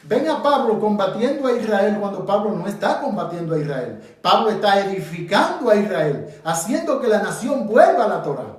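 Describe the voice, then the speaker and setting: A man's voice: high voice, big pitch swings, brisk, few pauses. A man with a Dominican Spanish accent leading an interactive Bible study, asking his group questions and pressing his points emphatically. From Dominican Republic. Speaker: Bible teacher. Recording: echoey room from far off.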